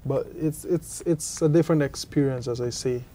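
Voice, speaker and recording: A young man from Guyana being interviewed about his cricket, answering haltingly and often repeating his words. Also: medium pitch, mellow. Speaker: cricketer guest.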